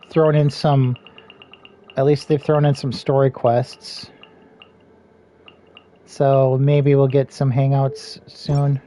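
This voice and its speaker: Slow, mellow, rambling game streamer. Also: mid-range voice. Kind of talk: casual chat while playing a game. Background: soft game music.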